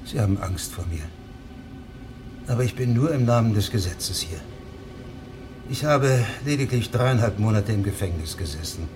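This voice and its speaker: Radio play judge male actor: stern, measured, lower-pitched, clear ambient noise behind him. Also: expressive, lightly acted. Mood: coolly authoritative. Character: stern, probing traveling judge of fifteen years' standing. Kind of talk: radio drama acting.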